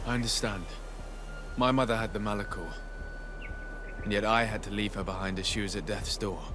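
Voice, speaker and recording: Actor as courteous noble diplomat, music behind. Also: male voice, lowish voice, steady tone, close to an everyday voice. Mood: sympathetic, regretful. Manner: polite, measured, sincere. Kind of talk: video game dialogue acting. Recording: game dialogue under prominent music.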